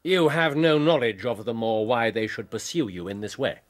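An actor playing a brisk, incisive English detective with a clipped, authoritative manner in a period radio drama. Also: male voice, medium pitch, dry recording, lightly acted. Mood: probing.

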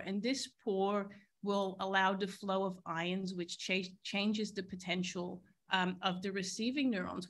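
A woman's voice: medium pitch, a bit bright. Earnest woman lecturing over a video call. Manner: earnest, explanatory, with occasional fillers. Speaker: neuroscientist giving keynote. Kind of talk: scientific keynote lecture. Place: university boardroom, indoors. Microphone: video call, laptop mic.

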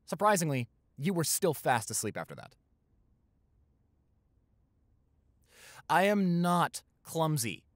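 A man's voice: fairly high pitch, very animated, normal speed, no echo, clean studio sound, voice pushed up. Man playing playful, caring young American boyfriend. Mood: mock defensive. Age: young adult. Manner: teasing, reassuring, hesitant stammers. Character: playful, caring new boyfriend, college student. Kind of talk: improvised boyfriend roleplay audio.

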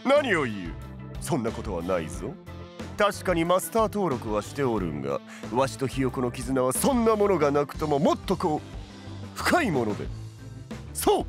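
Flamboyant, swooping, boastful lord. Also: male voice, medium pitch, huge pitch swings, moderate pace, over music. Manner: theatrical, archaic old-man phrasing, boastful. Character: flamboyant, proud old-fashioned tea-master lord. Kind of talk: Japanese voice drama acting.

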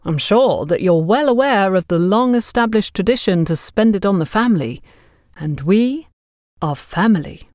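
A woman's voice: medium pitch, expressive, normal speed, a little mellow, no room sound, close to an everyday voice. A cheeky little boy voiced by a woman lectures with mock wisdom in an audio story. The sound is dry. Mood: teasing, mock-solemn. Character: small, mighty boy, mock-wise and cheeky. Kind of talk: audio story character dialogue.

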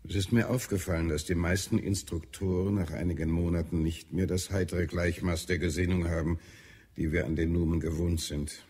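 Deep, gravelly, edgy health adviser. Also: male voice, fairly even intonation, fairly quick, a little mellow. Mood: irritable, weary. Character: irritable, weary male Martian health adviser, grimly concerned. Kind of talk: German audio drama acting.

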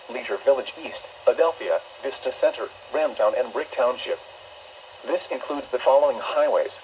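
A synthetic American male voice of middling pitch reads emergency weather alerts in a measured, mechanical way. The sound is dull and muffled, coming out of a small radio speaker. Clear noise sits behind the voice. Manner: flat, measured synthetic reading. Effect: synthetic text-to-speech voice. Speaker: automated weather radio announcer. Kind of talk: emergency alert announcement, scripted. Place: weather radio playback filmed up close indoors.

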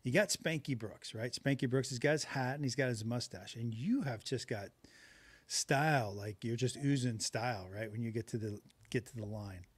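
A man's voice: mid-range voice, moderate pace, breathy edge, no echo. A middle-aged man hosting a podcast over a video call, chatty and upbeat with frequent laughs, speaking into a headset mic from a small home room. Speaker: podcast host. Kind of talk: casual video-call chat.

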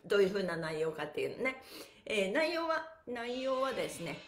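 A woman's voice: medium pitch, brisk, reverberant. A middle-aged woman hosting an upbeat livestream exercise class, chirpy, encouraging and full of exclamations, recorded a little way from the device in a small bare room. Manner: animated, chirpy, exclaiming and encouraging, often laughing. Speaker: pantomime and stretching instructor, livestream host. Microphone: device mic a step or two away.

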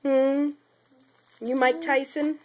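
A woman's voice: high voice, level tone, slow. Sick mother in sing-song baby talk. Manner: sing-song, playful, teasing. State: sick, voice lower than usual. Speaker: mother filming her baby. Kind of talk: home video baby talk. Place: baby's nursery, indoors. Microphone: handheld camera.

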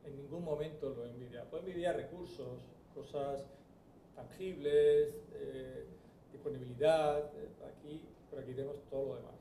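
Middle-aged Spanish-accented surgeon, mellow dark voice, reflective lectern speech with many fillers, roomy hall. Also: male voice, fairly high pitch, fairly even intonation, moderate pace, vocal fry, a little hiss or hum. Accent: Spanish from Spain. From Spain. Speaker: transplant surgeon. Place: large bright event hall. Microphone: lectern microphone. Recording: roomy hall audio picked up from a distance.

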